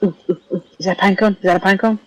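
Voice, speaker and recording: A woman's voice: mid-range voice, fairly even intonation, racing, rough recording. A woman giving casual, playful gameplay commentary, exclaiming and talking to herself as she goes. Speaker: gaming streamer. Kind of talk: casual game playthrough commentary. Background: video game music.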